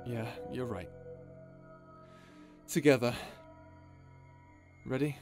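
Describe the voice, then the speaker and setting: A man's voice: medium pitch, extremely slow, darkish tone, soft background music. A man playing a nervous, informal, earnest pirate captain in an intimate audio roleplay, rambling and hesitant.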